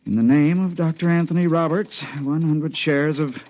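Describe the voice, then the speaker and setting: An actor playing a proper, disapproving stockbroker, polite and hesitant, in 1950s radio drama style. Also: male voice, a little high, steady tone, normal speed, darkish tone, lightly acted. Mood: reluctant disapproval. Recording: muffled 1950s radio recording.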